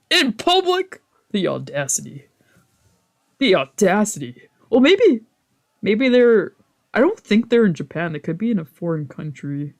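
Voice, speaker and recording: High-pitched man doing casual let's play commentary. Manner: informal, reactive, swearing, trailing off and self-correcting. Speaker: streamer playing and reading a visual novel aloud. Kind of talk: casual let's play commentary mixed with read-aloud narration.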